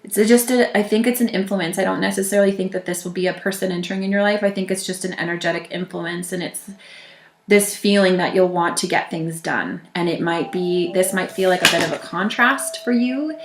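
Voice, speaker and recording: A woman with a groggy, slightly low voice talks through a weekly tarot reading. She is gentle and reassuring, pausing and restarting her sentences. The room around her sounds echoey. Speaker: tarot reader.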